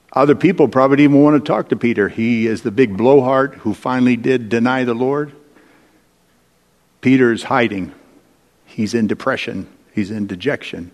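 A man's voice: mid-range voice, darkish tone. Male preacher in a reverberant hall. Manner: deliberate storytelling, dramatic pauses and rhetorical questions. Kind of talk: sermon retelling and explaining Bible stories. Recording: echoey large hall with long reverb.

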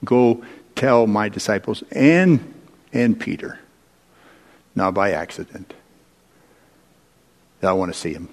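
A man's voice: lowish voice, expressive. Male preacher in a reverberant hall. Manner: deliberate storytelling, dramatic pauses and rhetorical questions. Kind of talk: sermon retelling and explaining Bible stories. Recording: echoey large hall with long reverb.

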